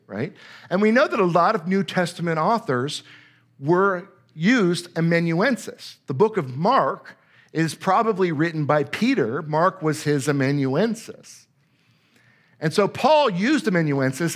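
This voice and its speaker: Male preacher, slightly high-pitched, emphatic explainer of scripture. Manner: emphatic, repeats words for stress; reads scripture aloud then explains it. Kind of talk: sermon teaching on scripture.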